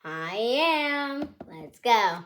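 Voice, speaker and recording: A woman's voice: a little high, sing-song, extremely slow, fairly bright tone, a little hiss or hum. A woman hosting her own channel, reading a picture book aloud to children in a cheerful, chatty, singsong storytelling way.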